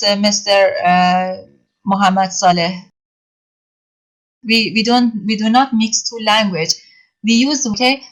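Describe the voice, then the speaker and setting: A Persian-speaking woman teaches a class in English, her voice at an ordinary middle pitch. She talks haltingly, stopping often for fillers and restarting phrases as she walks through each point. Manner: halting, many fillers, explains step by step. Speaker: university lecturer. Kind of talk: online lecture.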